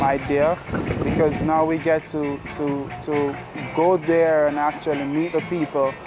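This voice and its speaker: A Jamaican man giving sincere, hesitant interview answers with many pauses. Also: a little high, low-quality recording.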